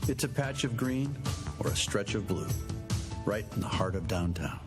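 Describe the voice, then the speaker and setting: A man's voice: lowish voice, animated, normal speed. A man reading scripted promotional narration as the voice of an advertisement. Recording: loud music bed under voice. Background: music.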